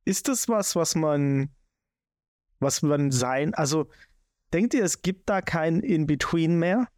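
A man's voice: fairly high pitch, animated, slow, a little mellow, no echo, studio quality. A man with a Swabian German accent, hosting a casual podcast talk and rambling with many restarts. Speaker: podcast host.

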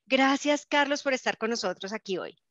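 A woman hosts a podcast interview with a voice pitched a little high. She is effusive and admiring and speaks slowly and deliberately. The sound is dry, close and slightly muffled. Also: expressive, fairly bright tone, dry recording. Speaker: podcast host.

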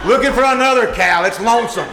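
A man's voice: very high voice, fast talker. A man playing an exasperated, falsely brave father in an old-time radio comedy, blustering with sarcasm and stammers. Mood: dismissive. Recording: echoey live winery stage recording, clear noise.

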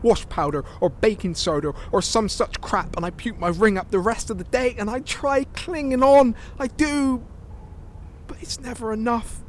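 A man's voice: high voice, normal speed, slight background noise, lightly acted. An actor playing a desperate young homeless man in an audio drama, breathless and chesty, pleading and confessional. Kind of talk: audio drama acting, dialogue and monologue.

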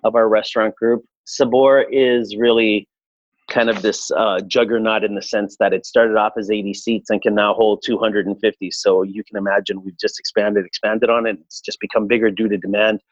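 A man in his forties from Chile, a restaurateur answering interview questions in a relaxed, reflective way with frequent fillers. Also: fairly low pitch, normal speed, dry recording, muffled recording. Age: 40s. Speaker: restaurateur guest.

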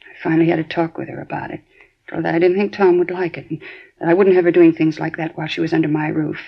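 A woman's voice: deep voice, fairly even intonation, fast talker, creaky, husky, full character voice. An actress playing an anxious middle-aged housewife telling police what she knows, in earnest 1950s radio drama style. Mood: worried. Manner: earnest, worried retelling. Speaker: witness questioned by police. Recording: dull 1950s radio broadcast.

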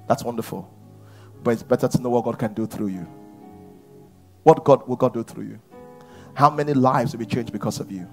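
A man preaching a sermon to his church, at times rising to shouts. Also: medium pitch. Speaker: pastor.

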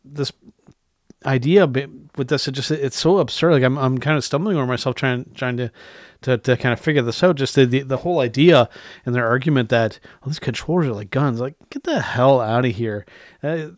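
A man's voice: medium pitch, brisk, no echo, studio quality. A man in his late thirties hosting a casual solo tech podcast, rambling with restarts and filler phrases, blunt and willing to swear. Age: 30s. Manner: rambling, frequent restarts and "and whatnot"; blunt, swears when irritated. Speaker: podcast host.

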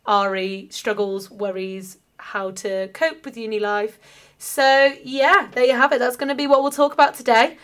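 A woman's voice: fairly high pitch, fairly even intonation, moderate pace, fairly bright tone. A young woman opening a podcast and chatting casually with friends, giggly and chatty. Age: young adult. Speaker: podcast co-host. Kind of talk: casual chat.

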